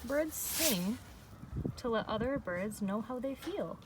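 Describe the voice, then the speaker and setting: A woman reads a children's book aloud as a teacher, very unhurried and explanatory, pausing between phrases. Her voice sits at a middle pitch. She is recorded some way from the microphone, with faint noise behind her. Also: expressive. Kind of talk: read-aloud lesson for young children. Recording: distant mic with faint background noise.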